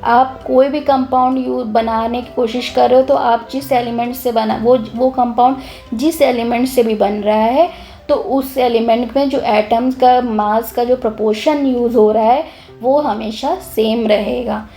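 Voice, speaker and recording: Young woman teacher, a bit hoarse, eager, mellow tone, keeps restarting her sentences. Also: on the high side, a little slow, soft background music. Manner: lively, talks with energy and restarts often. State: hoarse, clears her throat. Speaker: chemistry teacher. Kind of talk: casual explanatory lesson.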